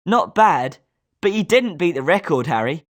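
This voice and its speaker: Lowish, animated British schoolboy played by a woman. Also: normal speed. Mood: consoling. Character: confident schoolboy wizard, sporting and competitive. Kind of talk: scripted video game character lines.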